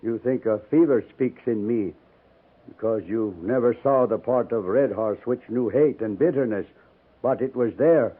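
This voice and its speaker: A man's voice: medium pitch, close to an everyday voice. Tinny old-radio dying old chief, played by a man. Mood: regretful. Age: older. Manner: solemn, measured, formal poetic phrasing. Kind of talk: 1950s radio drama acting. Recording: narrow tinny 1950s radio recording.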